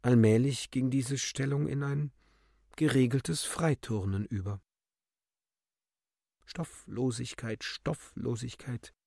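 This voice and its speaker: A man narrating a literary radio drama in a theatrical way, moving between declamation and hushed, measured reading. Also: fairly low pitch, dry recording, studio quality.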